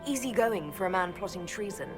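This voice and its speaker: A woman's voice: medium pitch, lively intonation, a little fast, music in the background, close to an everyday voice. A woman playing a composed, dry-witted elf mage inquisitor in a fantasy video game, speaking directly. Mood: dry, wry.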